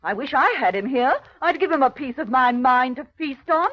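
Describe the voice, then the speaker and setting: High-voiced, tart, loyal wife. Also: female voice, animated, normal speed. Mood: indignant. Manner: tart, emphatic. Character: indignant, sharp-tongued, loyal working-class wife and mother. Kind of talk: 1930s radio drama acting. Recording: muffled old radio broadcast.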